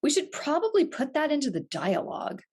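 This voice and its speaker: A woman's voice: sits high, sing-song, a little fast, a little mellow. A woman who co-hosts a show, bantering casually about a script. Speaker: show creator and co-host.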